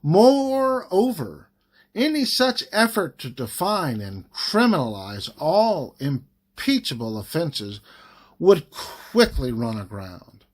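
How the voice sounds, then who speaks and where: A man reading a formal legal document aloud in a measured, emphatic way, pausing phrase by phrase. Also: fairly high pitch, big pitch swings. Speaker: reader of a government report.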